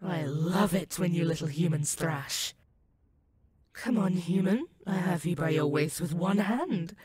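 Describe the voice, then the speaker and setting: A woman's voice: fairly low pitch, a little mellow, slightly rough. A woman playing an ancient, sadistic and possessive female werewolf demon in a roleplay audio, purring menace and mocking pet names at the listener. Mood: taunting, amused. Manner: slow, teasing, purring menace with mocking endearments.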